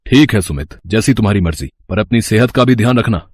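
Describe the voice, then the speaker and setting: A man playing a kindly, encouraging garage owner in a moral-story cartoon, speaking in a measured, approving way. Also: lowish voice, lively intonation, a little mellow, dry recording. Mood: concerned.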